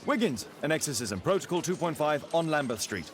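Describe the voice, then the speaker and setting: An actor playing a composed Victorian gentleman detective in a video game, English, formal, measured and wry. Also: a little high, big pitch swings, crowd noise. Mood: deliberate, scheming. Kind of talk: video game character acting.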